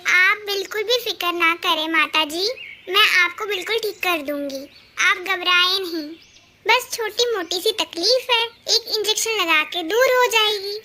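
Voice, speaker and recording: A woman playing a gentle, reassuring young female doctor in a children's cartoon. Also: extremely high pitch, measured pace, a little hiss or hum, full character voice.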